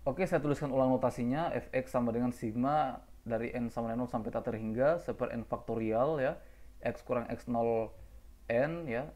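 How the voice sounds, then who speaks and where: A man's voice: mid-range voice, a little mellow. A man teaching a math lecture, walking through formulas step by step, patiently checking in with his listeners and correcting himself as he writes. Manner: patient, repeats "ya" often, self-corrects. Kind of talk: lecture explaining formulas while writing.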